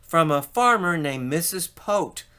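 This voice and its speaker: Storybook male shopkeeper, played by a man reading aloud: helpful, matter-of-fact, unhurried, bright. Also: a little high, animated, smooth, pitched above the performer's own voice. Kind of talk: children's storybook read-aloud.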